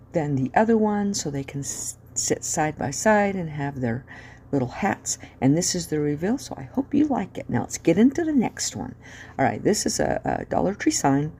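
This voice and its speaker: Low, mellow-voiced sniffly crafting woman. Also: a little hiss or hum. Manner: rambling, many ums and uhs, chatty. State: sniffly nose. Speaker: craft channel host. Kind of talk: casual craft tutorial narration.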